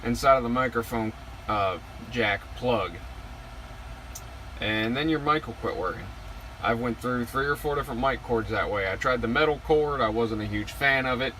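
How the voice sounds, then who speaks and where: A man giving a casual hands-on gear walkthrough, plain-spoken and halting, pausing between short phrases. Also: medium pitch, poor audio. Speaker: truck driver showing his CB radio setup. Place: inside a truck cab. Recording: steady vehicle and air-conditioning noise behind.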